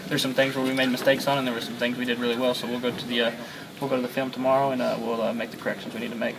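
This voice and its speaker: Halting young quarterback in a media scrum. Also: male voice, mid-range voice, level tone, noisy background. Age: young adult. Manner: halting, many fillers and repeated words. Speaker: college quarterback. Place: crowded locker-room interview area, indoors. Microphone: several handheld mics and phones held close. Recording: busy crowd chatter behind.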